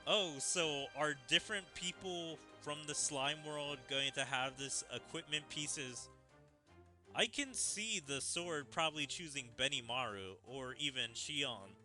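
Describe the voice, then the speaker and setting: A man's voice: fairly high pitch, measured pace, sharp tone, soft background music. A man giving casual game commentary as a streamer, musing aloud.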